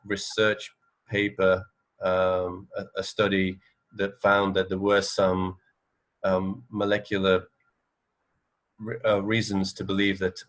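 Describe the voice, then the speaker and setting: A man in a casual radio discussion, talking in a hesitant, halting and tentative way. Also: low voice, monotone, fairly bright tone.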